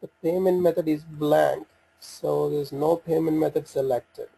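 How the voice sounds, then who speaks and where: A man giving an unscripted software walkthrough, talking through each step in a halting way as he thinks aloud. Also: a little high, fairly even intonation, creaky, husky, rough recording. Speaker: software demo presenter.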